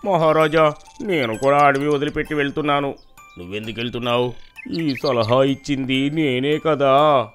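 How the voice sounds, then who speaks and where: A man voicing a humble, anxious donkey in an animated fable. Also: mid-range voice, moderate pace, faint music behind, close to an everyday voice. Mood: remorseful. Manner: deferential, earnest. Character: humble, anxious male donkey advising the king.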